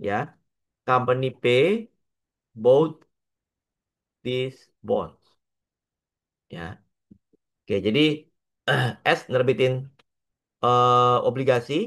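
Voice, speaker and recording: A man with an Indonesian accent lecturing on a worked example step by step, halting often, mixing in English terms and adding little checking tags. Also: mid-range voice, fairly bright tone. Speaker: accounting lecturer.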